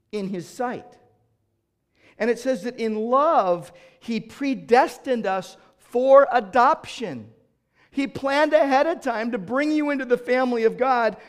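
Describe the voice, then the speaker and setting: A middle-aged man preaches a sermon in a voice that sits high for a man. He moves from loud, emphatic declarations to quiet, hushed asides. He often doubles a word as he starts a new thought. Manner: repeats words when starting sentences. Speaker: pastor.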